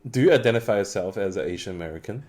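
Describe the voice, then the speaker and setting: Deep voice young guy, mandarin accent, casual podcast, searches for words a lot. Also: lively intonation, rapid-fire, darkish tone. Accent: Mandarin Chinese. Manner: earnest, searching for words, many fillers. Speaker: podcast co-host.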